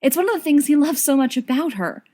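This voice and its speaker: A woman reading scripted fiction aloud as an expressive narrator. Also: sits high, quick pace. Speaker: podfic reader.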